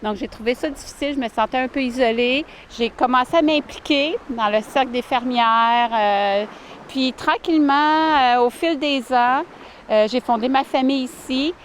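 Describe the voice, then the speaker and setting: A woman, answering interview questions warmly and earnestly outdoors by a lake, with many fillers and restarts. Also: high-pitched, normal speed, a little hiss or hum. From Ottawa, Canada. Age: 40s. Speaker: village mayor, interviewee.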